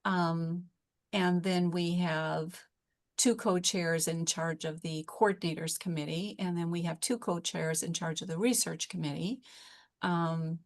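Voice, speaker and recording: A woman with a fairly low voice gives an informal presentation to colleagues. She talks very slowly and hesitantly, leaving frequent pauses between short phrases. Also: steady tone. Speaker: organization leader presenting on a call.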